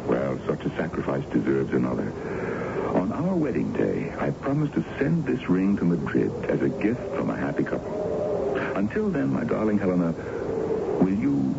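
A man's voice: deep voice, huge pitch swings, moderate pace, vocal fry, exaggerated voice. An actor playing an ardent, world-weary older man wooing his love in a theatrical radio drama style. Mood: teasing, tender. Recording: muffled, noisy old radio broadcast.

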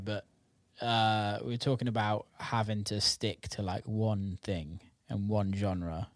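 A man's voice: on the low side, dry recording, studio quality. A man chatting casually as a music producer, informal and sweary, peppering his talk with "like". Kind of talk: casual chat.

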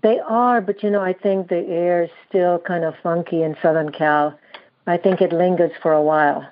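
A woman calling in to a show, speaking hesitantly with a slightly raspy voice and many fillers. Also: on the low side, steady tone, moderate pace. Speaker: caller. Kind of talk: call-in conversation. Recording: muffled lo-fi audio.

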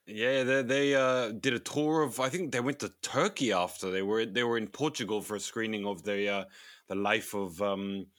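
Podcast co-host: male, lowish pitch, weary and hesitant, conversational, very dry close recording. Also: normal speed, fairly bright tone, no echo. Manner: hesitant, repeats words. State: tired, low energy. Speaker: podcast co-host, film distributor. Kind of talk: casual podcast chat.

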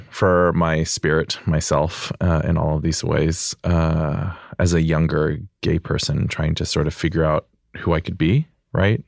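A young man from the United States speaks as a podcast guest, in a deep gravelly voice. His talk is thoughtful and loose, full of "like" and "you know". The sound is dry and close. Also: dry recording. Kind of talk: reflective interview conversation.

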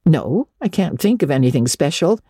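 A woman's voice: low-pitched, big pitch swings, moderate pace, warm tone, slightly rough, no echo, pitched below the performer's own voice, full character voice. A woman voicing a stern, practical older woman with a dry, sarcastic, no-nonsense manner in a dramatic storybook reading. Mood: grudgingly fond. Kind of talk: dramatic audiobook reading of classic children's literature.

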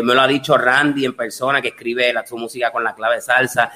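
An older man hosting a casual podcast chat, relaxed, with frequent fillers and laughter. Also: mid-range voice. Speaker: podcast host.

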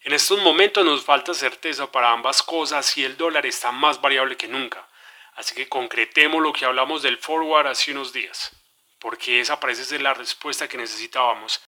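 Urgent, bright-voiced businessman. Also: mid-range voice, brisk. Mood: worried, resolute. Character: worried businessman anxious about dollar swings, pressing and decisive. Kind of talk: scripted podcast dramatization, one side of a call.

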